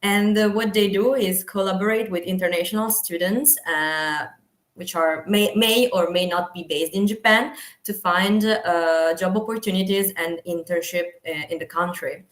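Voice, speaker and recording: A woman's voice: lowish voice, moderate pace, reverberant. A young woman, a student association president, introducing an event with many hesitant fillers. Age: young adult.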